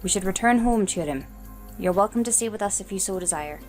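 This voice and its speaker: A woman playing a courteous, watchful forest ranger leader in a fantasy audio story, speaking in a formal, measured, polite way. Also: mid-range voice, soft background music, natural voice. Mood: polite, cautioning.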